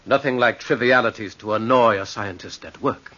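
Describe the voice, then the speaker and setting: An actor playing a genial, curious older professor in a vintage radio drama, courtly, wry and theatrical. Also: male voice, on the low side, normal speed, pushed and bright. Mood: genial, eager. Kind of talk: old-time radio drama acting. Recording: dull vintage radio recording.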